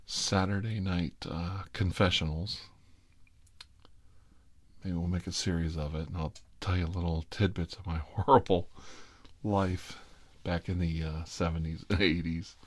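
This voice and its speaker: A middle-aged man with a very low-pitched voice tells personal stories in an informal, confessional style. His delivery rambles and has a sardonic, wry edge. The sound is very dry, with hardly any room in it. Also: dry recording. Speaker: ASMR host telling personal stories.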